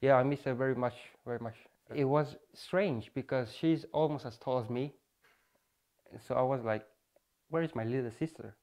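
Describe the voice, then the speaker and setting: A man in his twenties speaking softly and reflectively in a sit-down interview at home on a lapel mic. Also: medium pitch, moderate pace, a little hiss or hum. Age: 20s. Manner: soft-spoken, reflective, with fillers. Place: apartment living room indoors.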